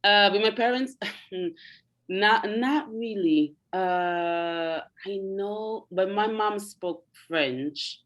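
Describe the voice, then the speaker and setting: A young woman from Italy, whose first language is Italian, speaking in a casual interview and often pausing with fillers. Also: medium pitch, a bit bright. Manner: hesitant, many ums, laughs often. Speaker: interview guest.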